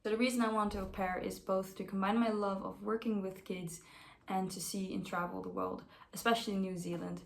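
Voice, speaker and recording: Woman in her twenties, earnest and chatty, average pitch, roomy echo. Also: flat delivery, brisk, gravelly, a little hiss or hum. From Netherlands. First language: Dutch. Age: 20s. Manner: earnest, conversational. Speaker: au pair applicant. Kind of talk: self-introduction video.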